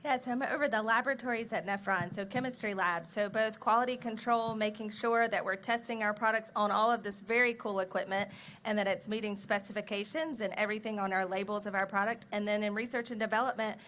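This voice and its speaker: Brief: woman lab leader, clear explanatory tone, faint outdoor ambience. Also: mid-range voice, fairly even intonation, fast talker, fairly bright tone, a little hiss or hum. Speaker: panelist, laboratory head. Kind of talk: panel discussion. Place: outdoor stage.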